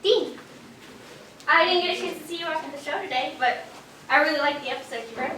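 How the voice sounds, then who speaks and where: Woman doing friendly young actress in a stage play, super high voice, echoey. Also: quick pace, sharp tone. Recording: echoey distant stage recording, faint noise.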